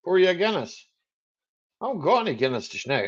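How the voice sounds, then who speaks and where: Man, Scottish Highland accent, raised pitch, very lively intonation, jokey, says aye and eh a lot, dull lo-fi audio. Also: quick pace. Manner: jokey, laughs often, lots of "aye" and "eh". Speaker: livestream host. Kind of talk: casual live chat.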